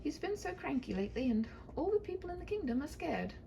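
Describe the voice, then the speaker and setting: A woman's voice: high-pitched, very animated, quick pace, creaky, mellow, a little hiss or hum, pitched above the performer's own voice, full character voice. A woman voicing a prim, tender-hearted young princess in a comic fairy tale, earnest and formal. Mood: patient, uneasy.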